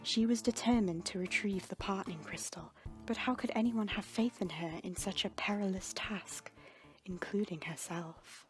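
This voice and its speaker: A woman's voice: medium pitch, normal speed. A woman reading fan fiction aloud as a scripted, dramatic story narrator. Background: background music.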